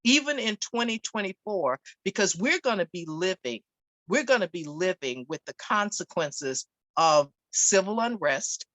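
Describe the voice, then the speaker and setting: A woman panelist in a debate, speaking deliberately and emphatically, repeating her phrases. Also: lowish voice, fairly bright tone, no room sound, poor audio.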